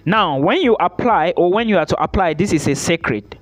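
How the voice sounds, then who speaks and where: A man's voice: high voice, animated, brisk, faint music behind. A man hosting his own channel, giving emphatic advice straight to the viewer, repeating key points and checking in with "okay?". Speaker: study-abroad YouTuber. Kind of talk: direct-to-camera advice vlog.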